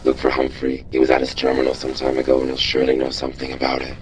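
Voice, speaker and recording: A man voicing a mysterious robot informant in a video game, secretive and measured as he gives instructions. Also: a bass voice, completely flat delivery, a little hiss or hum, poor audio. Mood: cool, instructing. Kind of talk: video game character acting.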